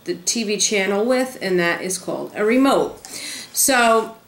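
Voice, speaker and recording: A woman's voice: mid-range voice, lively intonation, unhurried, roomy sound, low-quality recording. A woman in her thirties from Rhode Island, with a Rhode Island accent, chatting casually through an accent tag vlog with plenty of ums. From Rhode Island, USA. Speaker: vlogger.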